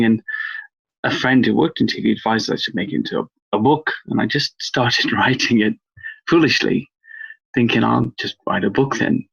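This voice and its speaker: Gravelly, husky middle-aged man from Wales. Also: medium pitch, highs cut off. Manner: rambling, enthusiastic, many fillers. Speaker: cryptozoology author. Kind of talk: interview answers.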